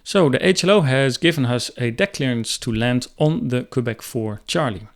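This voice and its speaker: A man's voice: mid-range voice, normal speed. A man in his thirties, a helicopter pilot, explaining radio procedures in an instructional style into a close desk microphone. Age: 30s. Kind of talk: instructional commentary. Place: small room indoors.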